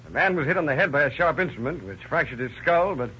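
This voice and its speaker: A man's voice: a little high, expressive, fast, lightly acted. A man playing a doctor who reports autopsy findings in a clinical, matter-of-fact way, in a 1930s radio drama with a muffled old recording. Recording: muffled old radio with faint background noise.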